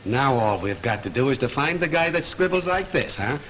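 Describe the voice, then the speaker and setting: A wry skeptical police lieutenant. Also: male voice, mid-range voice, big pitch swings, fairly quick, a bit bright, a little hiss or hum. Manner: skeptical, dryly teasing. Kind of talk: 1930s film acting.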